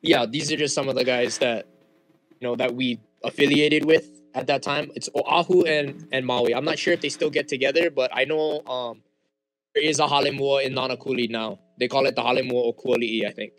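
A man's voice: medium pitch, a little fast, poor audio. Young Hawaiian man, native Hawaiian speaker, guest on a chat show; loose and easygoing. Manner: relaxed, frequent fillers and restarts. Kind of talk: casual interview chat.

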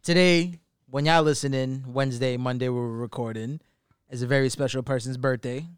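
A man's voice: medium pitch, normal speed, clean tone, no echo, clean studio sound. A man from the Bronx hosting a casual podcast, slangy and hyped like an emcee. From the Bronx, New York. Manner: hype, slangy, animated emcee delivery. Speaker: podcast host.

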